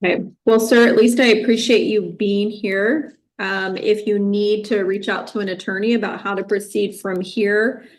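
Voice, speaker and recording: A woman judge speaks from the bench in a measured, formal way. She stays firm and even while delivering her rulings. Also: medium pitch, poor audio. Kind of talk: courtroom ruling. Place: courtroom.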